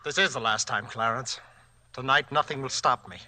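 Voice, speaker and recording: An actor playing an agitated, earnest husband who seems desperate, in 1940s radio suspense style. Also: male voice, mid-range voice, normal speed, pushed and bright, no echo. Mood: grim, determined. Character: frantic, determined husband whom others think insane.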